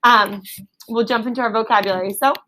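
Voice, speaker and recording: A woman's voice: fairly high pitch, fairly even intonation, fairly quick, sharp tone, slight background noise. A woman teaching a classroom reading lesson to children, explaining things simply, repeating key words and prompting pupils with questions. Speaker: elementary school teacher.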